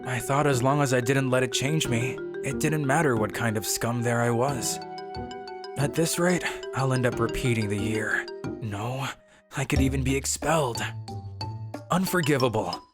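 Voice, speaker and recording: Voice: medium pitch, moderate pace, over music, natural voice. A performer voicing a brooding, cowardly teenage schoolboy who narrates a manga story in a melodramatic, scheming way. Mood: brooding, vengeful. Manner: melodramatic, sinister chuckling schemer.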